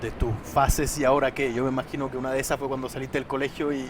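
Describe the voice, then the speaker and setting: A man's voice: medium pitch, level tone, quick pace, background noise. A man hosting a podcast, upbeat and enthusiastic. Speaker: podcast host.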